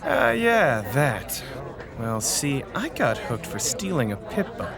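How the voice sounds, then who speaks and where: Shifty, animated male pony crook, played by a man. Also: mid-range voice, slow, creaky, exaggerated voice. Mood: evasive, pleading. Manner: evasive, hesitant, pleading. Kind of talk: audio drama dialogue. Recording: music and crowd murmur behind.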